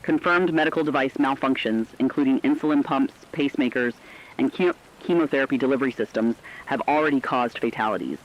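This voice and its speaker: Guy doing a serious radio reporter, middling pitch, formal crisis report, muffled lo-fi broadcast. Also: lightly acted. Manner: formal, earnest, measured. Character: serious radio correspondent giving crisis analysis. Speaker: news correspondent. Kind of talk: scripted emergency radio news report. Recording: dull lo-fi radio broadcast sound.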